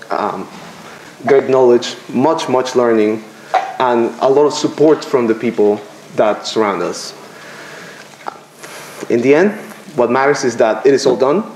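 A young man from Venezuela gives a graduation speech at a podium, halting and self-deprecating, with filler pauses. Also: medium pitch, darkish tone, slight background noise. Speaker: commencement student speaker. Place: lecture room with podium. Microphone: podium microphone. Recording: roomy lecture hall echo.

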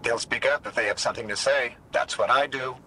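An actor playing an intense, blunt guide in a video game, with an urgent, challenging way of talking. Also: male voice, mid-range voice, brisk, sharp tone, slight background noise, rough recording. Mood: determined. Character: male guide tracking his commander, intense, defiant, blunt.

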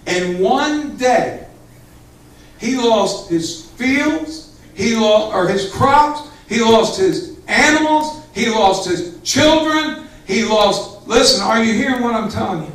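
Male pastor, fervent and emphatic, very high voice, slow delivery, recorded in a highly reverberant church. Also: expressive. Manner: fervent, emphatic, rising to shouts, addressing congregation directly. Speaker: pastor preaching. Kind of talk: Pentecostal sermon. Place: church sanctuary with small congregation. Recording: echoey church hall, far from camera.

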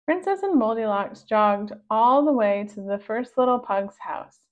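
A woman reading a children's storybook aloud in a measured narrating style for young listeners. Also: on the high side, expressive. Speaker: storybook reader. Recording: dull, lo-fi sound.

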